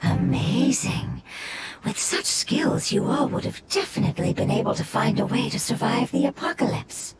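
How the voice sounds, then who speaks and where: A woman voicing an ancient, gentle fungus-shaped creature who explains things calmly and softly, like a patient mother. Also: on the high side, normal speed. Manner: calm, explanatory, soft-spoken. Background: loud game music.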